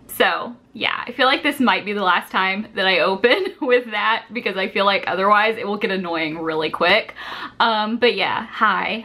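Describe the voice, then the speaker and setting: A young woman vlogger with a very bright, slightly high voice. She talks to the camera informally and rambles a little, often poking fun at herself. It is recorded at desk distance in a small room at home. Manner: rambling, self-deprecating, informal. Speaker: vlogger and shop owner. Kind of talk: casual chat to camera.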